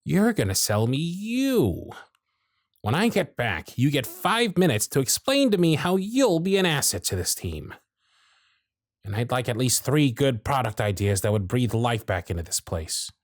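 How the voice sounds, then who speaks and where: A man voicing a jaded, century-old male workshop supervisor who is gruff, weary, sardonic and blunt, in an ASMR roleplay with close miking. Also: mid-range voice, very animated, measured pace, dry recording. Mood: gruff, softening. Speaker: voice actor in audio roleplay.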